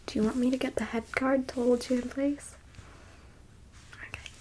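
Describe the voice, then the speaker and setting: A woman playing a haughty, squeamish alien abductor in an ASMR roleplay, whispering slowly and condescendingly as she coaxes a captive human. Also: high voice, little pitch movement, a little mellow, a little hiss or hum, lightly acted, poor audio. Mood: impatient coaxing. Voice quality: breathy whisper. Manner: slow, condescending, coaxing.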